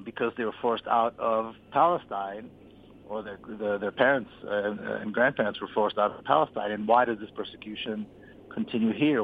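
Low-voiced man on a tinny phone line. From Israel. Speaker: interview guest, author and activist. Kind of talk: radio interview, personal account and political argument.

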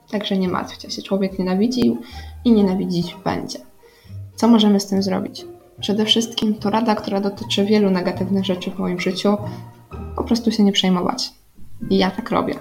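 Creaky-voiced young woman over background music. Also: medium pitch, darkish tone. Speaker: radio segment host. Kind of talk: personal diary-style monologue to listeners.

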